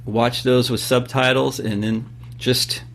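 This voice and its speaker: A man in his fifties from California, explaining things at length in a relaxed interview, with many pauses and fillers. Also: medium pitch, a little hiss or hum. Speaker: podcast guest and host of his own show. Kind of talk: casual interview talk.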